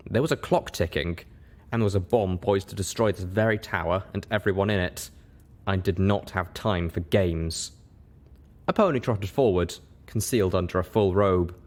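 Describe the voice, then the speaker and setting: A man's voice: low voice, normal speed. A man reading scripted fiction aloud as an audiobook narrator. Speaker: audiobook reader.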